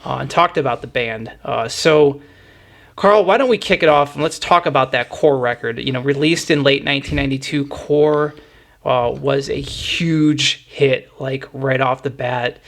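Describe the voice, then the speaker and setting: A man's voice: medium pitch, normal speed, fairly bright tone. A middle-aged man hosting a music podcast, talking in a conversational, enthusiastic and wordy storytelling way. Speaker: podcast host. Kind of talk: music podcast talk, retrospective commentary.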